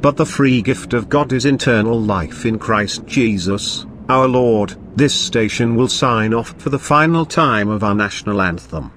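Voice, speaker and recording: A man's voice: fairly low pitch, slight background noise. A man reading a scripted emergency alert in a stiff, formal announcing manner. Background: loud music.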